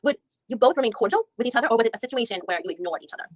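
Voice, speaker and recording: A woman reads a dogged female attorney working through a deposition. She fires off methodical questions at a very quick clip, often restarting mid-sentence. The sound is close and dry. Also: on the high side, animated, no echo. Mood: probing. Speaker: reader in a deposition reenactment. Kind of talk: read-aloud legal reenactment.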